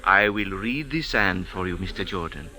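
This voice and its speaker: An actor playing a humble, insistent Egyptian sand diviner in old-time radio drama, with a halting, incantatory delivery. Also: male voice, sits low, animated, bright, forceful tone, heavily acted. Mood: mystical, coaxing. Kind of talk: 1940s-50s radio drama acting.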